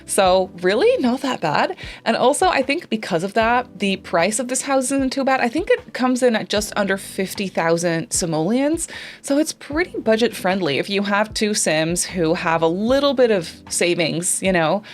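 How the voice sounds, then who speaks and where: A woman's voice: mid-range voice, animated, normal speed. Chatty woman, Dutch native speaker. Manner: chatty, rambling, many fillers and self-corrections. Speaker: Sims YouTuber. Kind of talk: casual voice-over commentary.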